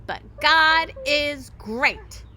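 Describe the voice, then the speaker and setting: A woman's voice: high-pitched, extremely slow, sharp tone, voice pushed up, exaggerated voice. A woman voicing a brave little shepherd boy in a children's storybook, earnest and emphatic in his faith. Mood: defiant.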